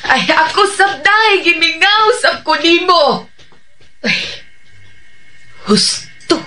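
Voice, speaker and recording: A woman's voice: very high-pitched, lively intonation, takes it slowly, pushed and bright, heavily acted. A woman playing an emphatic motherly elder in a Filipino Bisaya radio drama. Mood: fond. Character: emphatic mature woman, a family elder called Mama.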